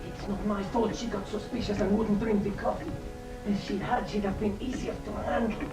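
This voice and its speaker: Man acting a curt, menacing criminal over loud music. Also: high voice, flat delivery, brisk, recorded from a distance, natural voice, rough recording. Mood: irritated. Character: callous, bullying, greedy criminal kidnapper named Espinosa. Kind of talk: radio drama acting.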